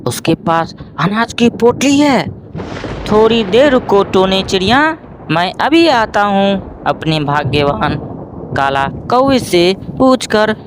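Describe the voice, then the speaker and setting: Cartoon crow husband, played by a man: high, whiny, greedy schemer, forever hungry, noisy background. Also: lively intonation. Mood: greedy scheming. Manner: pleading, drawn-out, scheming.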